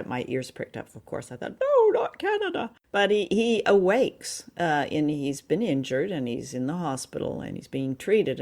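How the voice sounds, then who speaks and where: A woman's voice: sits low, gravelly, darkish tone. A woman co-hosting a podcast, casually discussing and recapping a story. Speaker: podcast co-host.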